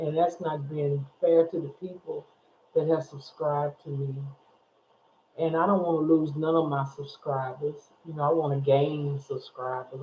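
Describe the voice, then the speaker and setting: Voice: mid-range voice, warm tone, slight background noise. A speaker in their sixties chatting casually to viewers, rambling and repeating phrases as they go. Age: 60s. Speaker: YouTube vlogger. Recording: echoey room over a tinny phone-like line.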